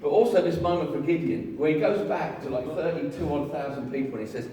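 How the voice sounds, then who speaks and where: A man preaching a lively, jokey sermon, telling a story with voices and getting the congregation to join in. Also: on the high side, fast. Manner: jokey, animated storytelling with audience participation. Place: large hall with an audience. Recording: echoey hall, far from mic, crowd.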